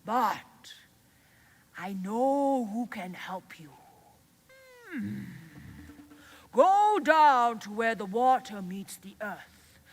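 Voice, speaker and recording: A woman voicing a wise, slow-speaking male elephant who hums and half-whispers his advice. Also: fairly high pitch, lively intonation, exaggerated voice.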